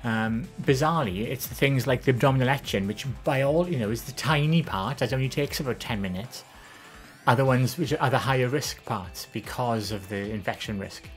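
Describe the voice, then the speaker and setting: A woman with a Welsh accent, chatting casually on a live stream. Her voice is soft and gentle but low, and she rambles with frequent ums and restarts. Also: brisk, faint music behind. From Wales, the Valleys. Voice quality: soft, gentle voice with a lower, less feminine tone. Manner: chatty and rambling, with many ums and self-corrections. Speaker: live streamer answering viewer questions.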